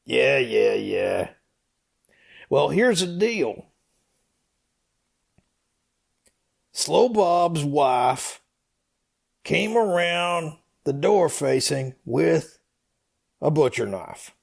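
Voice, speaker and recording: Comedy skit: man playing a dim, folksy, hesitant rambler, heavy gravel, slightly raised pitch, unhurried delivery. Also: very animated, heavily acted. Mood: earnest, stalling. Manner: hesitant, halting, meandering. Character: rambling slow-witted folksy comic storyteller El Dub. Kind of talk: comedy skit read as dialogue.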